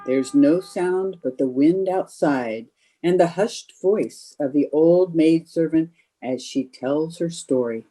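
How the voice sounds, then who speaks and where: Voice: mid-range voice, takes it slowly, dark tone, low-quality recording. A narrator setting the scene for an old-time radio drama in an atmospheric, scripted style. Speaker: announcer-narrator.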